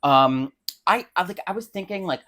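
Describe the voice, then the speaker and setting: A flamboyant American man co-hosts a casual comedy podcast. His delivery is camp and theatrical, and his pitch leaps around with lively, animated swings. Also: normal speed. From American Midwest. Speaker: podcast co-host. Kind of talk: casual comedic chat about fiction.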